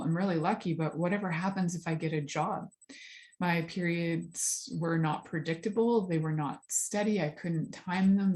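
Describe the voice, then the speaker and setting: Woman, podcast host, frank and chatty, low voice, casual solo talk. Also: darkish tone. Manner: candid, frank, chatty with filler words.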